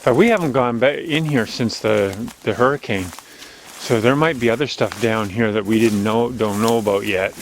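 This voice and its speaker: A man with a strong, loud voice hosting a walking video outdoors on an overgrown logging road, chatty and rambling as he narrates, recorded on a handheld camera. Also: mid-range voice, moderate pace, a little hiss or hum, poor audio. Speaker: channel host. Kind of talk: casual walking vlog narration. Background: faint outdoor nature ambience.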